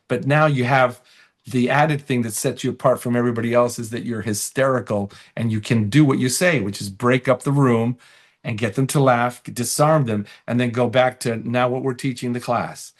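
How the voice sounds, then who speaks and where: Man in casual, anecdote-filled interview chat. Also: mid-range voice. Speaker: comedian guest.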